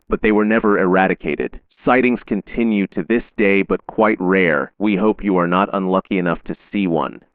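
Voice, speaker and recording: A man narrating a scripted horror safety bulletin in a measured, solemn, formal announcer manner. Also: sits low, clean tone, dry recording, phone-quality sound.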